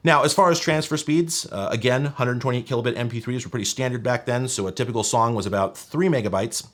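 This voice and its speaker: Casual, wry man explaining tech. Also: mid-range voice. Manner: casual, wry, nerdy technical detail. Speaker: host of a retro tech video channel. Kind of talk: conversational explainer narration to viewers.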